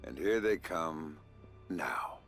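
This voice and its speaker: A man playing a gruff, weary middle-aged covert operative in a video game, gravelly and terse. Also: extremely low pitch, expressive, slow pace, fairly bright tone, soft background music, heavily acted. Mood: focused, impatient. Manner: terse, dry.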